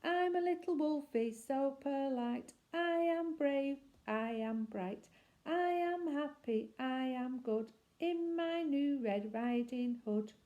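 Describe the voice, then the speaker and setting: High, chirpy and eager, this polite little wolf boy, as a woman puts him on, sings out his words in a bright sing-song. His voice sits well above her own, with mellow tone underneath and lots of swoop in the melody. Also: sing-song, moderate pace. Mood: cheerful.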